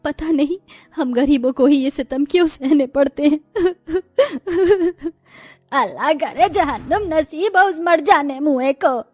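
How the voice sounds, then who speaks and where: A poor, pious elderly grandmother acted by a woman speaks plaintively in a high voice at a very slow pace. The cartoon-story recording is dry, with music underneath. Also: animated, no room sound. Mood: bitter lament. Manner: plaintive, lamenting. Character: devout old grandmother, sorrowful, poor and scolding.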